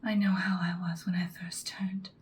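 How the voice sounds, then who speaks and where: A woman playing a commanding female vampire mentor in a whispered ASMR roleplay, speaking softly, breathily and deliberately. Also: fairly low pitch, flat delivery, a little hiss or hum, natural voice. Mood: ominous, musing. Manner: slow, deliberate, instructing, ominous. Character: ancient-seeming female vampire mentor, commanding, once ruthless, now good.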